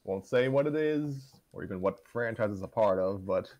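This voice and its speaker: Guy with a pretty deep voice just chatting as a cohost, kinda halting and self deprecating. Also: lively intonation, darkish tone, muffled recording. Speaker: co-host of a fan fiction reading show. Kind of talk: casual unscripted chat.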